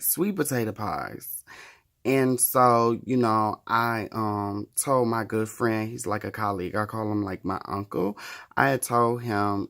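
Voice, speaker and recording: A man's voice: fairly low pitch, a bit bright. A man in his thirties chatting casually to the camera in a wry, profane, chatty way. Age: 30s. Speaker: vlogger.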